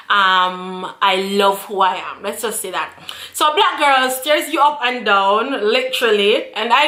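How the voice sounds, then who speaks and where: Woman, 30s, vlog host, casual chat, repeats phrases, bright voice, roomy echo. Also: mid-range voice. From Jamaica. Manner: chatty, repeats phrases, frequent laughs and fillers. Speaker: YouTube channel host.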